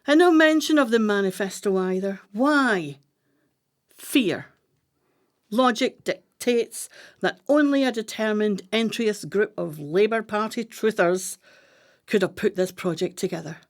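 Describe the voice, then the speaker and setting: An actress playing a brisk-minded, commanding woman plotter in a radio play, with a deliberate, declamatory delivery. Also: medium pitch, lively intonation. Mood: firm, emphatic.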